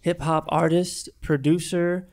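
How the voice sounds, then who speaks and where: A man's voice: a little high, level tone, unhurried, a little mellow, soft background music. A man co-hosting a studio podcast, chatting in a laid-back, slangy, enthusiastic way. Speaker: podcast co-host. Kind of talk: casual chat.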